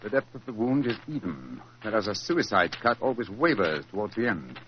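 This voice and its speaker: A man playing a brisk, commanding English detective in a 1940s radio drama, speaking in a clipped, decisive way. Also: lowish voice, sing-song. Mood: certain, analytical. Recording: muffled, noisy old 1940s radio recording.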